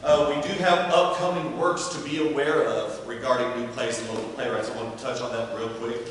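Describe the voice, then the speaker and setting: A man's voice: medium pitch, fairly even intonation, brisk. Man announcing from afar in an echoey hall. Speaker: theater company director hosting event. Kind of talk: informal event announcements to an audience. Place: large indoor theater hall, distant microphone. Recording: distant, very echoey hall, faint noise.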